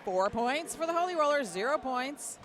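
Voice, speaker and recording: A woman with an American accent giving live roller derby play-by-play, excited, emphatic and chatty. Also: high voice, moderate pace. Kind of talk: live play-by-play commentary with casual banter. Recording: noisy venue sound behind commentary. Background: loud venue noise.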